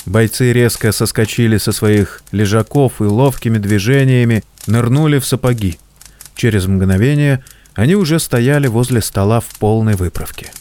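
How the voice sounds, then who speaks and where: A man narrating an audio drama in a measured, deliberate storytelling manner. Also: fairly low pitch, a little mellow. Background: faint music.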